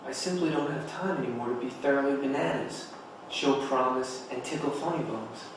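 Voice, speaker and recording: A man's voice: medium pitch, fairly quick, close to an everyday voice. A man playing a proud, placating writer justifying himself in a dramatic script reading. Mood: defensive. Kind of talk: dramatic table read. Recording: very echoey, distant mic, noisy room.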